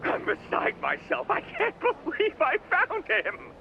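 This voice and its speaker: A man's voice: very high voice, huge pitch swings, measured pace, creaky, full character voice. A man playing a giddy, teasing fanboy on a phone call in a video game, gushing and full of playful puns. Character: giddy, teasing, geeky mascot-obsessed male caller. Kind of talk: video game cutscene acting. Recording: dull, noisy phone call.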